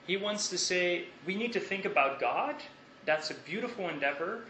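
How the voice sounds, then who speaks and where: A young Dutch man gives a thoughtful academic talk, pausing and restarting as he works through ideas. His voice is bright and fairly high. The sound is roomy, with a faint hiss from a lecture hall. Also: expressive. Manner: thoughtful, measured, with hesitations and restarts.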